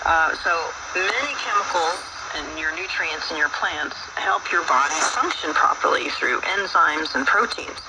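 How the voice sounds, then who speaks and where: A man hosting a radio talk, explaining informally and reading aloud in a halting, folksy way, heard over shortwave radio. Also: fairly high pitch, lively intonation. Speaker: radio show host. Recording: very noisy shortwave radio broadcast, distant.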